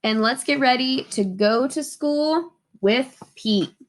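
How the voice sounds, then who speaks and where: A woman reading a children's storybook aloud in an expressive way, asking her young listeners questions. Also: high-pitched, takes it slowly. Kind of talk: children's storybook read-aloud with sing-along.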